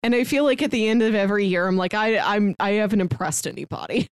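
A female podcast co-host with a mid-pitched voice. She speaks casually and conversationally, recorded close and dry with no room sound. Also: fast, clear, resonant tone, no echo.

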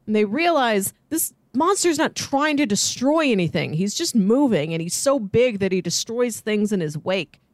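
A woman chats as co-host of a film podcast in a fairly high voice. She is earnest and explanatory, talking casually. The sound is close and dry. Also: dry recording.

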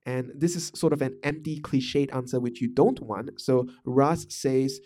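Man from malaysia, thirties, mellow voice, mid pitch, casual monologue, many ums and restarts. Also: measured pace. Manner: thoughtful, many fillers and restarts. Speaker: podcast host discussing management.

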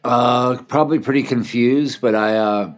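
A man's voice: medium pitch, moderate pace. A man in his fifties from Washington, D.C., a filmmaker, talking casually and hesitantly in a video call interview. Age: 50s. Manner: relaxed, hesitant, many fillers. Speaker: filmmaker and festival founder, guest.

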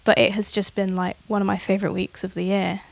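A woman's voice: mid-range voice, fast talker, gravelly, no room sound. A young woman of eighteen, a podcast guest, chatting casually with lots of ums and likes. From Scotland, raised in England. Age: young adult. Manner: relaxed, uses fillers like um and like. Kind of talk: casual interview chat.

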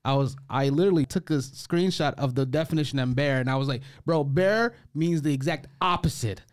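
A man's voice: on the high side, fairly quick, no echo. Laid-back young man chatting, dry sound. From Detroit. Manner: laid-back, laughs often, quotes friends in slang. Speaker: podcast co-host. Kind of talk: casual chat.

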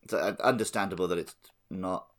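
A man's voice: sits low, brisk. A man talking casually as a panelist on a gaming podcast.

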